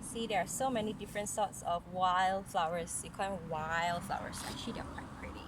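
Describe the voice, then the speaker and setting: A woman's voice: lowish voice, steady tone, fairly quick, background noise. A mother reading a children's story aloud, breaking off for casual explanations and questions to her child.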